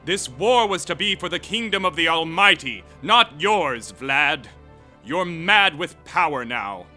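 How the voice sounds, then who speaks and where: An actor playing an indignant, smart-mouthed soldier hero in a comedy audio drama. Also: male voice, fairly high pitch, expressive, a little slow, bright, forceful tone, soft background music, full character voice. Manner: indignant, sassy, plain-spoken.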